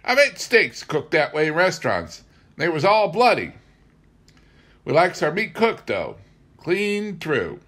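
A man's voice: on the high side, sing-song, unhurried, a bit bright, pitched above the performer's own voice, heavily acted. A man voicing a blunt, rustic farm wife from a memoir, with folksy, ungrammatical country talk. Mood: disapproving.

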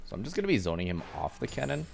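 Low-voiced man coaching over gameplay. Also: very fast, creaky. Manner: instructive, relaxed, talks over his own play. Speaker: streamer explaining gameplay. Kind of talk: casual gameplay commentary.

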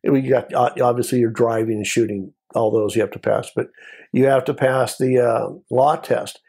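A man's voice: mid-range voice, fairly even intonation, moderate pace, vocal fry, warm tone, breathy edge. An American man in his sixties, telling stories casually as an interview guest in short, conversational phrases. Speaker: guest, former Border Patrol agent.

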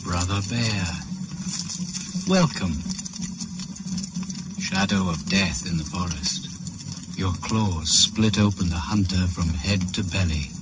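The deep, slow voice of an ancient shaman, chanting solemn invocations to the spirits in an old radio drama. Heavy hiss sits under the recording. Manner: solemn, incantatory, ritual chanting. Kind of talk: 1980s BBC radio play acting.

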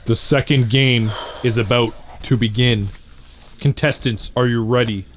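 A man's voice: mid-range voice, fairly even intonation, unhurried, a little hiss or hum, close to an everyday voice. A man playing a stern, easily exasperated game guard in an improvised comedy skit, announcing tersely and slipping into casual talk. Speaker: game master in a parody skit. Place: outdoors at night by a brick house.

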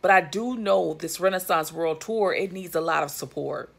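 A woman's voice: fairly low pitch, moderate pace, creaky, a bit bright, slightly rough. A woman in her thirties giving emphatic, chatty commentary to her viewers from her couch, with plenty of fillers and asides. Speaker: vlogger. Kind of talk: casual commentary to camera. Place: living room, on a couch indoors. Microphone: phone or webcam at arm's length.

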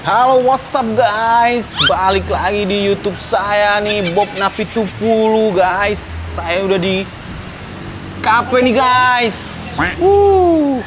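A man's voice: sits high, slow, noisy background. Joking young man vlogging at a café. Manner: jokey, playful, rambling. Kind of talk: comedic prank vlog. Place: outdoor café terrace.

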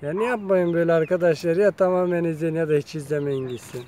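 A man's voice: a little high, quick pace, mellow, a little hiss or hum. A man talking casually to his viewers while filming his chickens in an outdoor yard on a phone camera, chatty and coaxing. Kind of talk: casual vlog talk to viewers.

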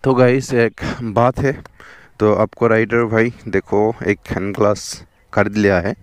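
Brief: young Bengali-accented male motovlogger, lowish voice, chatty, keeps saying "okay" and "look", close dry action-cam sound. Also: fairly even intonation, unhurried, no echo. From West Bengal, India. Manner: chatty, repeats "theek hai" and "dekho". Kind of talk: casual vlog narration while riding. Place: outdoors on a riverbank, on a motorbike. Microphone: helmet or chest action camera.

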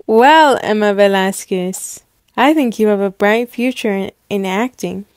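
A woman's voice: medium pitch, unhurried, dry recording, close to an everyday voice. A woman playing a jaded casting director with a dry manner in an acted story. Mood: impressed. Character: jaded casting director judging young hopefuls. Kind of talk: acted dialogue in a one-person story.

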